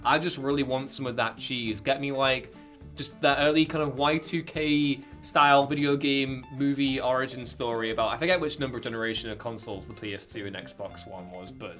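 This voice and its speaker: A man riffs playfully as a podcast co-host, animated and rambling. His voice is bright and forward, at a middling pitch for a man, with some music faintly under him. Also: brisk. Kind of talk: casual podcast chat.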